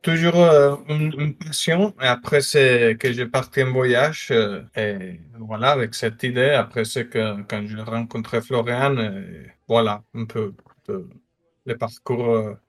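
A young Mexican man speaking French with a Mexican Spanish accent, a photographer answering interview questions with hesitant pauses and fillers. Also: medium pitch. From northern Mexico. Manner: hesitant, many fillers, slight grammar slips. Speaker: guest photographer. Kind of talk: casual interview talk.

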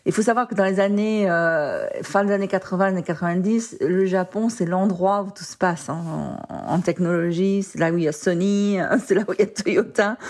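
A woman's voice: medium pitch, darkish tone. Chatty woman recalling her career. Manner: chatty, sprinkles English words and lots of "en fait". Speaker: executive guest recounting her career. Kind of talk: casual interview storytelling.

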